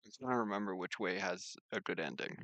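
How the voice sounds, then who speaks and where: A man's voice: deep voice, fast talker, fairly bright tone, no echo. A man streaming a visual novel, reading its narration aloud with casual commentary and sometimes stumbling over lines and rereading them. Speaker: video game streamer.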